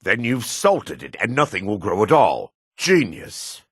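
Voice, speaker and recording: An actor playing a solemn, world-weary dark elf man in a fantasy video game, with a raspy, measured, theatrical delivery. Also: on the low side, big pitch swings, dry recording, studio quality, full character voice. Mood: sardonic.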